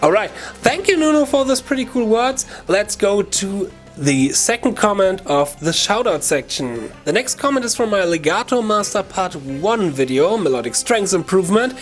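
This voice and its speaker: A man with a German accent hosting a guitar lesson, talking to viewers in an informal, chatty way with halting self-corrections. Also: sits high. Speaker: guitar teacher and video channel host. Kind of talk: casual video lesson talk to viewers.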